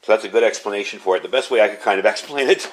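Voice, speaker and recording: A man's voice: fairly low pitch, very fast. A middle-aged American man giving a casual, unscripted product demonstration in a chatty, explanatory way. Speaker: product reviewer. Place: indoor room, at a tabletop.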